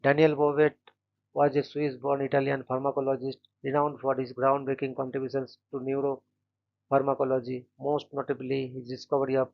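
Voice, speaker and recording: A man hosting a daily channel, reading short scripted biographies in a flat, steady way and stumbling now and then on names. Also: mid-range voice, gravelly, muffled recording. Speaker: host of a daily events channel.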